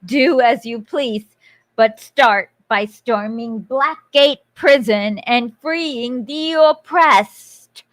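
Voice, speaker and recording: A woman doing an impression of a menacing masked male villain, proclaiming slowly and theatrically like a grand revolutionary speech. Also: a little high, a bit bright, no room sound. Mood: grandiose.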